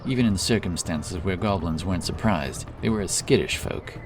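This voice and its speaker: A man narrating a fantasy story in a measured, solemn, dramatic storytelling manner. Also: low-pitched, expressive, background noise. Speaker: audiobook narrator.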